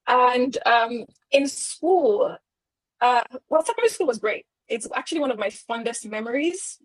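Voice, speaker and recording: A woman's voice: on the high side, breathy edge, dry recording, low-quality recording. A young woman from Lagos, a panel guest telling her school story in a chatty, easy way. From Nigeria (Lagos). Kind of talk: casual storytelling.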